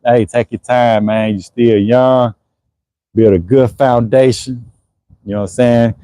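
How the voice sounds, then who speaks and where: A man's voice: medium pitch, fairly even intonation, measured pace, dark tone, slight background noise. A man in his forties running an HVAC business, chatting casually on a livestream and answering viewers in a laid-back way. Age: 40s. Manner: laid-back, conversational, frequent "you know what I'm saying". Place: Houston area.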